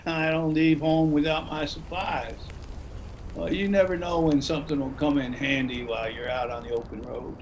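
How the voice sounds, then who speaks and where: A man's voice: fairly high pitch, fairly even intonation, moderate pace, a little hiss or hum, natural voice. A man playing an older, folksy retired salesman and widower in a zombie drama table read. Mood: wry, easygoing. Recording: video-call read over music.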